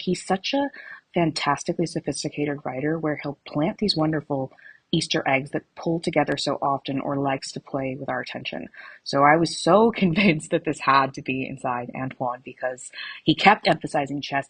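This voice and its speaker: A woman with a slightly husky voice of average pitch, recorded close and dry. She talks like an excited fan leaving a message, casual and animated, with a giggly edge. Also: no echo, rough recording. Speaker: podcast listener sending a voice message.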